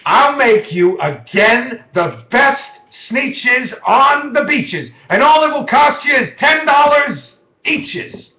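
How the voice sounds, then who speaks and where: A man voicing a slick, brash, persuasive salesman creature from a children's storybook, with a showy sales-pitch delivery. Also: lively intonation, slow, few pauses, heavily acted. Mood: pushy selling. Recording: muffled lo-fi, echoey room.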